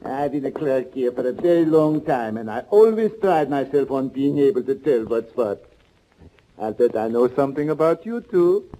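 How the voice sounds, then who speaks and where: An actor playing a nosy, self-satisfied veteran hotel clerk with a knowing manner, in 1940s radio character style. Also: male voice, mid-range voice, animated, normal speed, warm tone, natural voice. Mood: smug, friendly. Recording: noisy, muffled old radio recording.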